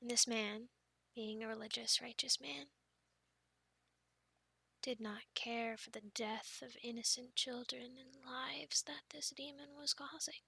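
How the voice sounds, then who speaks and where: A high, bright young female voice , acted by a woman, plays a friend sharing spooky stories in an ASMR roleplay. She is expressive and playful, slipping toward breathy softness. The sound is close and very dry. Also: unhurried, no room sound, heavily acted. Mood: hushed, solemn. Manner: expressive, teasing, breaking into breathy near-whispers.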